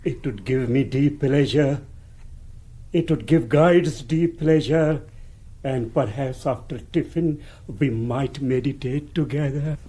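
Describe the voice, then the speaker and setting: An actor in a radio comedy playing a serene, flattering Indian guru who speaks gentle, ceremonious broken English with an Indian accent. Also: male voice, medium pitch, slow pace, natural voice. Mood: gently pleased. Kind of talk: radio comedy drama acting.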